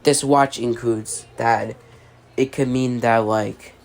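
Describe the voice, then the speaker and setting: An amateur voice actor reading a scripted mock emergency broadcast as an announcer, halting and stumbling with repeated phrases. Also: mid-range voice, steady tone, creaky, a little mellow.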